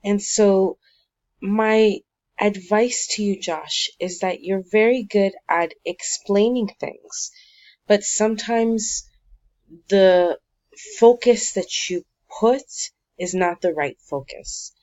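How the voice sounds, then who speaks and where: Woman, teacher giving essay feedback; calm, reassuring, mid-pitched, slightly dull recording. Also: takes it slowly. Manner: calm, encouraging but critical, with frequent fillers.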